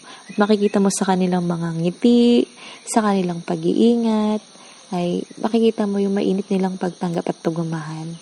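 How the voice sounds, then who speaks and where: A woman's voice: lowish voice, warm tone, slight background noise. A woman giving an earnest, emphatic spoken testimonial.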